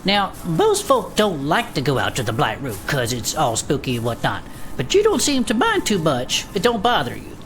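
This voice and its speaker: A woman's voice: deep voice, normal speed, soft background music, full character voice. A woman playing an eager, folksy former adventurer who conducts an interview in a fantasy audio drama. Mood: amazed, curious. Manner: folksy, colloquial, excitable.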